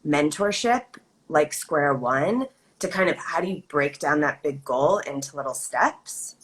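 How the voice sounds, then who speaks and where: A woman hosting a live video chat, thoughtful and measured, asking her guest questions. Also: deep voice, lively intonation, low-quality recording. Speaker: host. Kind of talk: casual live-stream interview.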